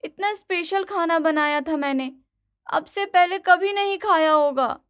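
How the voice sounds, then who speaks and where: A woman voicing an eager, innocent and self-satisfied young daughter-in-law in an animated moral story. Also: very high-pitched, a little slow. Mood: innocently defensive.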